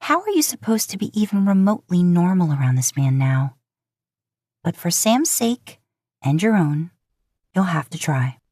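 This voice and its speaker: A woman's voice: fairly low pitch, very animated, normal speed, dark tone, no room sound. A woman narrating scripted fiction as an audiobook reader, recorded close up.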